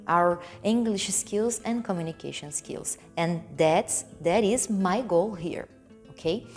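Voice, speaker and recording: A woman from Brazil, a non-native English speaker with a Brazilian Portuguese accent, hosting a relaxed English lesson and explaining words slowly and carefully. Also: medium pitch. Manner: slow and careful, stresses and repeats key words. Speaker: English teacher and channel host.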